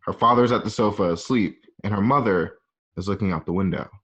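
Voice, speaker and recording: Male narrator for a script reading: lowish pitch, informal, halting delivery, lo-fi home sound. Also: fairly bright tone, slightly rough. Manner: halting, casual, present-tense scene description. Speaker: narrator reading stage directions. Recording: dull, lo-fi home recording.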